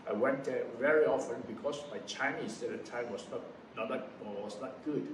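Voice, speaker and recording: A man in his seventies from Malaysia, giving a personal testimony in a halting way, often restarting and correcting himself. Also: mid-range voice. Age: 70s. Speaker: interviewee recounting his past. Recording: roomy echo from a distant mic, noisy background.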